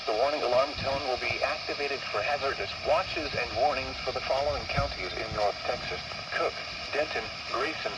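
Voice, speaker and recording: A man reading a scripted public warning test as a weather radio announcer, in a formal, measured, automated-sounding delivery. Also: medium pitch. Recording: noisy, distant weather radio broadcast.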